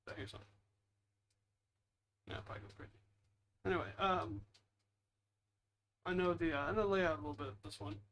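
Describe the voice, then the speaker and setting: Higher-voiced man rambling over gameplay. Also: fairly even intonation, a little fast, pauses often, fairly bright tone. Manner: rambling, self-deprecating muttering. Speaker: gaming video host. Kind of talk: casual gameplay commentary.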